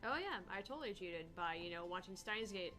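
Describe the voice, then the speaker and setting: A woman's voice: medium pitch, animated, brisk, bright, forceful tone, faint music behind. A woman co-hosting a gaming show, chatting casually about the game. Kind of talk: casual chat commentary.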